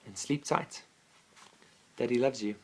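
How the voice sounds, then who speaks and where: A man's voice: medium pitch, a little slow, vocal fry, a little mellow, slightly rough, low-quality recording. A man reading a children's bedtime story expressively, as a father to his child.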